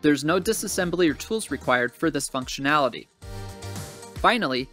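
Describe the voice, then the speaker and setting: A man reading scripted instructional narration for a training video in a measured, clearly enunciated, formal way. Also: fairly high pitch, a bit bright. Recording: music bed under voice-over. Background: background music.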